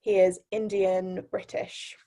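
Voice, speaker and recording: A woman's voice: medium pitch, little pitch movement, slow, dark tone. Young woman, hesitant and laughing. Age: young adult. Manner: laughs often, hesitant with many "um"s. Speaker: interview guest. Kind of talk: casual interview over a video call.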